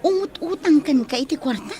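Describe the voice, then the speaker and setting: A woman's voice: sits high, animated, fairly quick, dark tone. An actress in a Filipino radio drama playing a sincere young working woman. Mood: puzzled, embarrassed. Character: sincere young working woman, embarrassed about her boyfriend.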